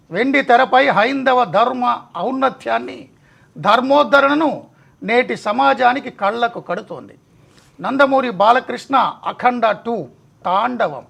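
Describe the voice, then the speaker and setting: A man's voice: very high-pitched, vocal fry, a bit bright. A male TV host delivering a dramatic scripted monologue in short, weighty rhetorical phrases. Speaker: TV show host.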